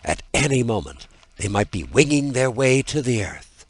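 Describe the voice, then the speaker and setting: A man narrating a scripted fairy tale as an audiobook, in a dramatic and deliberate storytelling manner. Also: mid-range voice, very animated, husky, no room sound.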